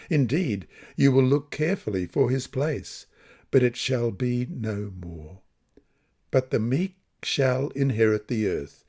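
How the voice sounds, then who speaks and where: A man reading a scripted devotional lesson and scripture in deliberate phrases with emphatic pauses. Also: mid-range voice, dark tone. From Australia. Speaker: reader of devotional Bible lessons.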